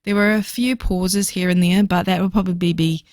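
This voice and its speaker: A woman recording a casual voiceover for her reading journal video, chatty and fast-talking with lots of fillers, her voice slightly hoarse. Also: lowish voice, fairly even intonation, darkish tone, dry recording, studio quality. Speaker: book vlogger.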